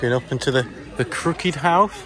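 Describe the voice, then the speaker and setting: A man's voice: mid-range voice, normal speed, crowd noise. A British man chatting casually as he films a walkaround vlog outdoors. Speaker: vlogger. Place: outdoor family park, walking in woods.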